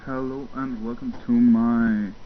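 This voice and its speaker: A man's voice: mid-range voice, little pitch movement, a little slow, a little hiss or hum. A young German man giving casual, rambling commentary over gameplay footage, full of fillers and little chuckles. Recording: muffled home mic with game sounds.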